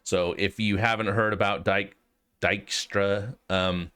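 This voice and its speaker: American man, low smooth voice, slight cold, casual tutorial host, informal, hesitant, thinking aloud. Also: little pitch movement, slow pace, bright, forceful tone. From United States. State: has a cold. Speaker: host of a programming walkthrough video. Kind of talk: casual explanatory tutorial talk.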